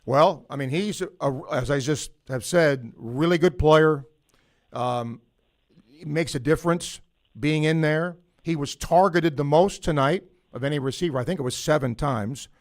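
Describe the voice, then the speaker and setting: A man's voice: fairly high pitch, measured pace. A man hosting a late-night call-in sports radio show, talking loosely with fillers and restarts. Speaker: radio sports talk host.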